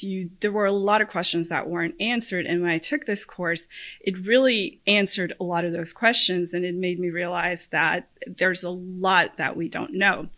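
Bright-voiced woman hosting a podcast. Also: lowish voice. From Puerto Rico. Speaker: podcast host, lawyer. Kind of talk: podcast monologue introducing herself.

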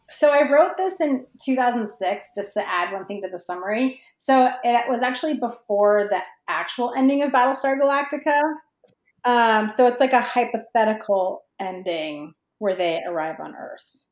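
A woman's voice: on the high side, moderate pace. A woman guest writer talking casually on a podcast, recorded with a roomy echo. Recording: roomy, echoing sound.

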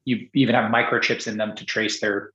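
A man's voice: lowish voice, flat delivery, brisk, pushed and bright, reverberant, dull audio. A man who grew up in Singapore, speaking as a guest on a podcast interview, rambling with many restarts and fillers. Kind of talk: casual interview conversation.

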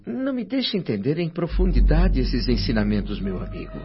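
An actor with a Brazilian accent playing a humble, puzzled newcomer to the spirit world in a radio drama, earnest and questioning. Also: male voice, a little high, wildly swooping pitch, a little fast, noisy background. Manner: questioning, earnest, formal diction. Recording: dull lo-fi sound, music behind.

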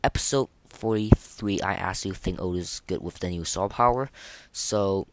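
A man hosting a casual gaming podcast, talking in a halting way and often repeating words as he restarts. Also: sits low. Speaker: podcast host.

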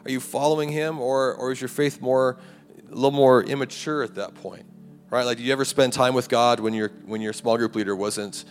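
A man preaching a conversational sermon to a campus ministry, his voice raspy and a little hoarse. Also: medium pitch, steady tone, brisk. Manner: conversational preaching with rhetorical "right?" and "like". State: hoarse, clearing throat. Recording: live hall recording, long reverb, faint music.

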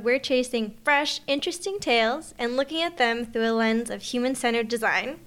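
A young woman co-hosts a casual podcast. Her voice is smooth and clear, sitting a little high, and her delivery is friendly and chatty. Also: fairly bright tone. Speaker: podcast co-host.

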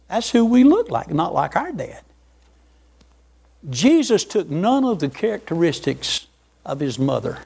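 A man's voice: high voice, darkish tone. An older man preaching a folksy sermon, repeating words and chuckling as he goes.

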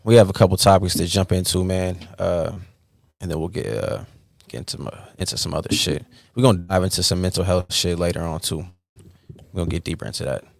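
A man's voice: deep voice, fairly even intonation, a little fast, gravelly, no echo, studio quality. A man in his twenties hosting a casual comedy podcast, laid-back and full of fillers. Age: 20s. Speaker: podcast host.

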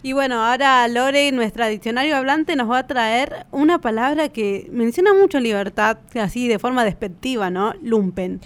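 High-voiced Argentine woman chatting casually. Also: normal speed, few pauses, clear, resonant tone. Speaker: podcast co-host. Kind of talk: casual conversational discussion.

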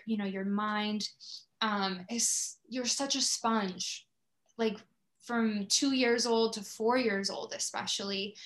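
A woman with a fairly high voice speaks as a podcast host. She talks in a conversational way, as in an interview. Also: fairly bright tone.

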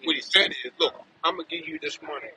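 A man's voice: on the high side, fast, bright, forceful tone, a little hiss or hum, low-quality recording. An American man in his seventies giving casual, preacherly advice in conversation, emphatic and repeating phrases for effect. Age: 70s. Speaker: podcast guest.

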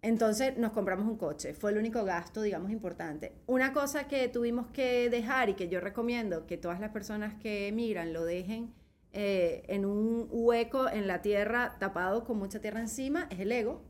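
A woman's voice: medium pitch, moderate pace. A middle-aged woman with a Venezuelan accent, telling personal stories in a casual interview, emphatic and often checking that the listener follows. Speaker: podcast guest.

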